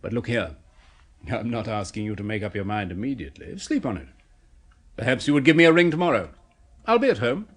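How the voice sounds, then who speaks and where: A man of science in a 1970s radio chiller, fervent and persuasive. His pitch leaps about with excitement and his voice has a husky edge. He explains macabre things with clinical precision and coaxing zeal. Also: fairly quick, long pauses. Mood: coaxing, enraptured. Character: eager, persuasive male scientist obsessed with a macabre experiment. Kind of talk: 1970s British radio horror play acting.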